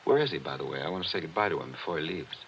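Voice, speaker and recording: An actor playing a brooding attorney who narrates wistfully in a 1940s radio mystery. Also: male voice, expressive, very fast, a bit bright, a little hiss or hum, exaggerated voice. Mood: reflective. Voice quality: low, reflective, wistful. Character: brooding middle-aged male attorney narrator. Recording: dull old radio recording with music.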